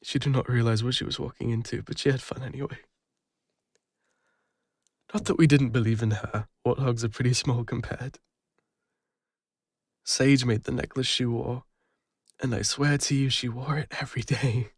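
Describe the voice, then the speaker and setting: A man with an English accent playing a guilt-ridden, lonely retired adventurer, speaking haltingly and emotionally in an intimate fantasy audio roleplay. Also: mid-range voice, steady tone, husky, dry recording, studio quality. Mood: fond, nostalgic.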